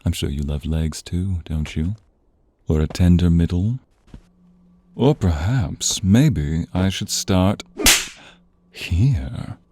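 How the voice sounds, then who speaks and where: A man voicing a seductive, domineering vampire in an ASMR roleplay, teasing and commanding in a breathy voice that often drops to a whisper. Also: low-pitched, slow, long pauses, mellow, slight background noise. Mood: teasing, predatory. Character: sinister, seductive male vampire, domineering and predatory master. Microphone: close, dry studio microphone.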